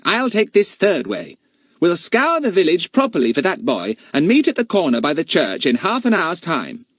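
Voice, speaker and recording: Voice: medium pitch, huge pitch swings, normal speed, fairly bright tone, no echo, studio quality, pitched above the performer's own voice, full character voice. A narrator voicing a confident, breezy boy who leads his friends in a storybook. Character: cheerful, confident boy leader.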